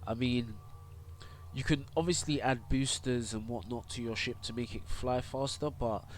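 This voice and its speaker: A man's voice: mid-range voice, normal speed, slight background noise. A young man with a cold, congested, casually walking through a game tutorial full of fillers and restarts. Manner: rambling, many fillers and restarts. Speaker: gaming YouTuber and streamer.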